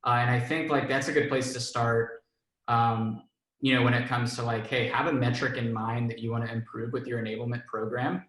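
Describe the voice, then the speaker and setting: A man's voice: medium pitch, fairly even intonation, a little fast, fairly bright tone, roomy sound, low-quality recording. A man on a career panel, talking casually with lots of fillers and a self-deprecating tone. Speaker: panelist, enablement professional.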